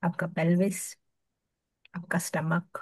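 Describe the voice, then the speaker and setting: Slow, low-voiced Indian woman guiding meditation. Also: level tone, husky, no echo. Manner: soothing, hushed at phrase ends, with long pauses. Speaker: meditation guide. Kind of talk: guided meditation.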